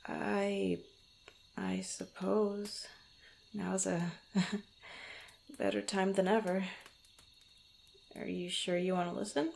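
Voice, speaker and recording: A woman's voice: mid-range voice, flat delivery, slow pace, vocal fry, long pauses, darkish tone. A woman playing a guarded but fond young female rogue in an intimate roleplay, bantering playfully and stammering when flustered. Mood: hesitant confiding. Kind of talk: intimate ASMR roleplay, one-sided conversation.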